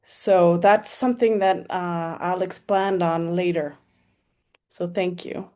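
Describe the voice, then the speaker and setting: A woman sharing in an online circle, speaking haltingly as she searches for words. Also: fairly low pitch, fairly even intonation, a little mellow. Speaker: participant.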